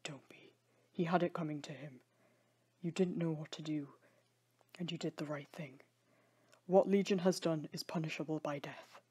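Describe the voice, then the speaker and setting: A woman playing a stern, protective lord with a forceful, commanding manner in a dramatic audio story. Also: sits low, steady tone, normal speed, pauses often, husky. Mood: grim authority. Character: stern wronged lord, man, protective and indignant.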